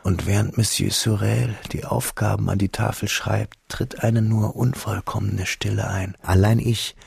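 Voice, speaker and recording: An actor playing a reflective man who narrates his schoolboy memories in a radio drama, in a measured, intimate, literary manner. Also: fairly low pitch, level tone, creaky, a little mellow, husky, no room sound, clean studio sound, heavily acted. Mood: pensive. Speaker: first-person narrator.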